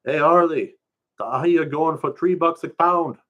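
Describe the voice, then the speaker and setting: Male reader as island fisherman-teacher: easygoing, teasing pidgin, raised pitch, projected. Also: normal speed. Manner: loud, colloquial island pidgin.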